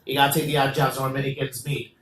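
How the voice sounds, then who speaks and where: A young man doing a stand-up comedy set as the host of an open mic, animated and jokey, with loud bursts on the punchlines. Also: mid-range voice, very fast, reverberant, a little hiss or hum, poor audio. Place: small comedy venue.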